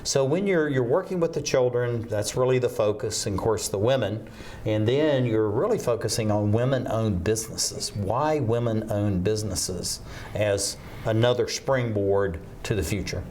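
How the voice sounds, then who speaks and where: An enthusiastic male talk show host interviews his guest in a low voice. He praises freely and sprinkles his questions with fillers. Faint noise sits in the background. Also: moderate pace, darkish tone.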